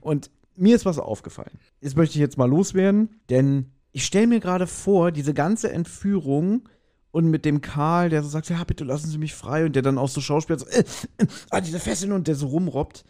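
A man's voice: a little high, moderate pace, warm tone. A man with a German accent co-hosting a podcast in casual chat about an audio drama, often hesitantly searching for words. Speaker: podcast co-host.

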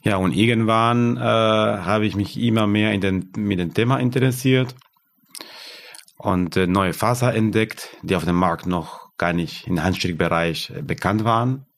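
A man, a guest talking about founding his yarn business, halting as he searches for German words. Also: fairly low pitch, creaky. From Argentina. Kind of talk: casual interview.

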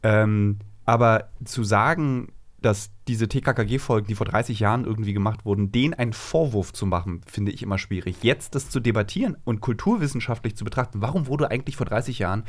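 A man's voice: lowish voice, fairly quick, few pauses. A man, a journalist guest, talking casually and giving his opinions in a discussion. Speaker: interview guest, journalist and author.

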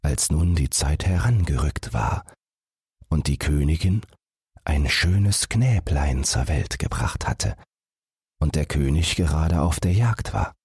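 Male audiobook narrator, extremely low voice, husky, bedtime fairy-tale reading, dry recording. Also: animated, slow pace, darkish tone, no room sound.

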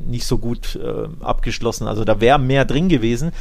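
A man's voice: medium pitch, moderate pace, creaky, darkish tone. A man hosting a football podcast, chatting casually with colloquial, opinionated phrasing. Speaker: podcast host.

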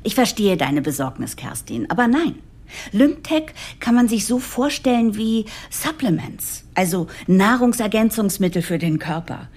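A woman's voice: mid-range voice, very animated, moderate pace, a little mellow. A woman playing an earnest, idealistic new chief executive in a German audio drama. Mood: motivated, persuasive. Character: new female CEO, eager, idealistic, nervously determined. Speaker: lead character and narrator.

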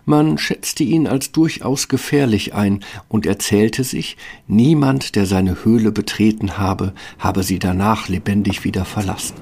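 A man narrating a scripted fairy tale as a storyteller, with a gravelly, husky voice. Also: on the low side, measured pace, few pauses, darkish tone, slight background noise.

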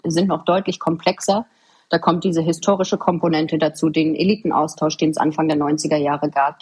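A woman from eastern Germany with a husky voice, answering interview questions in an earnest, articulate and emphatic way. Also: deep voice, a little mellow. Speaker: podcast guest, government official. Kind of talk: interview answers, explanatory conversation.

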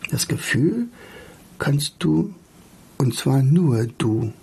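An eager female bumblebee from a storybook played by a man. She is breathless and very husky, with a gravelly edge. She pleads earnestly, like a messenger on urgent business. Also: mid-range voice, expressive, very slow, darkish tone, exaggerated voice. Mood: eager, pleading. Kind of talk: storybook reading.

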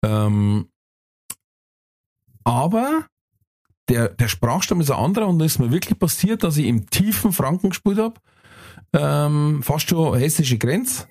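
A Bavarian man, a comedian co-hosting a podcast, telling stories in relaxed casual chat. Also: on the high side, a little slow, long pauses.

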